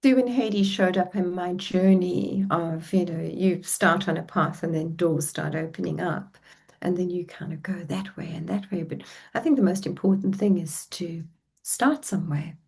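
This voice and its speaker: A woman's voice: fairly low pitch, normal speed, vocal fry, a little mellow, slightly rough. A middle-aged woman with a South African accent, telling her life story as a guest on a podcast in a reflective, heartfelt way. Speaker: relationship coach guest. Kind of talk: podcast interview.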